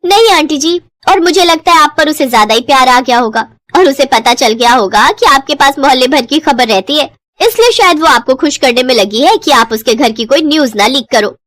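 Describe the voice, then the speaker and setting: Cartoon daughter-in-law acted by a woman: young, very high voice, sharp-tongued, sarcastic, close dry sound. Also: animated, moderate pace, few pauses, dry recording. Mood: curt, sarcastic. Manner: sarcastic, snappy retorts.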